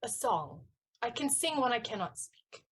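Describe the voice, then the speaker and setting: A woman's voice: a little high, very animated, normal speed, husky. A woman playing a lovesick, impetuous teenage page boy in a theatrical period comedy, speaking ardently and insistently in florid lines. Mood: pleading.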